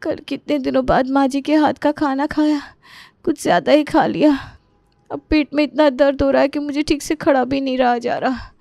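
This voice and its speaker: Young scheming daughter-in-law, voiced by an actress, high pitch, meek on the surface, animated family drama, dry sound. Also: female voice, moderate pace, gravelly, no echo. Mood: meek, ailing. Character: sly young daughter-in-law Shravani, feigning illness. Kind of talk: Hindi animated moral story acting.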